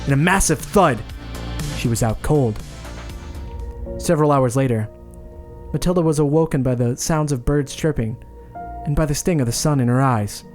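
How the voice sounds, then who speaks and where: A man's voice: medium pitch, normal speed, pauses often. A man hosting a comedy podcast, speaking as writer and reader of his own story. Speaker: podcast host. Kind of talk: dramatic scripted narration, then casual chat. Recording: loud music behind the voice.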